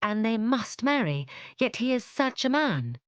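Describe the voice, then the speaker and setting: A woman voicing a quick, anxious young woman who speaks with urgent, pleading insistence. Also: on the high side, big pitch swings, clean tone, no echo, pitched above the performer's own voice.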